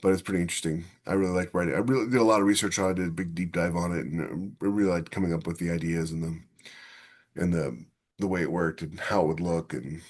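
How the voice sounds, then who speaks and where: A man's voice: low-pitched, steady tone, fast talker. A man co-hosting a casual science fiction podcast, talking with enthusiasm and acting out imagined quotes as he explains. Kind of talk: casual discussion of sci-fi technology.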